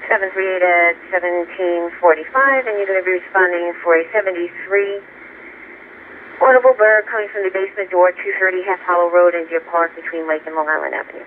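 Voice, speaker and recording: Man, radio dispatcher, high pitch, clipped call details, phone-like police scanner sound, faint static. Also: fairly quick.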